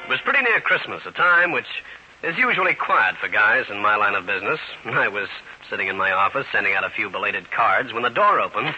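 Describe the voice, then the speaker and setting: An actor playing a wisecracking but good-hearted American private eye, narrating and acting in an old-time radio drama. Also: male voice, fairly low pitch, lively intonation, brisk, bright, forceful tone, dull audio, faint music behind. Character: wisecracking, good-hearted tough New York private detective.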